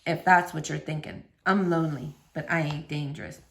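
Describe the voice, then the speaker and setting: A woman voicing a lonely, gentle middle-aged man, a shy ex-convict guitarist who talks in a quiet, slow mumble. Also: low-pitched, fairly even intonation, creaky, pitched below the performer's own voice. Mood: quiet confessing.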